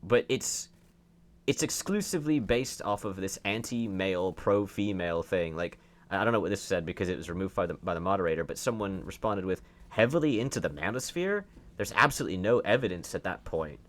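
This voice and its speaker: A man's voice: low voice, expressive, brisk. A man giving opinionated online commentary, reading out and reacting to posts in an argumentative, emphatic way, with rhetorical questions and repeated phrases.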